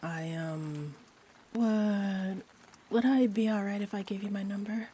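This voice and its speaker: A woman playing a sweet, shy female vampire in an intimate roleplay audio, rambling gently and hesitantly. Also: mid-range voice, slow pace, natural voice. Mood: shy, hopeful. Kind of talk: roleplay audio, intimate one-sided chat. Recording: steady rain-like ambient noise. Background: rain ambience.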